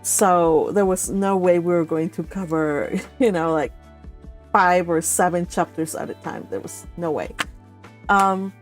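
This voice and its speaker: A woman's voice: fairly low pitch, measured pace. A chatty, encouraging woman hosting a casual group read-along livestream. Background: music.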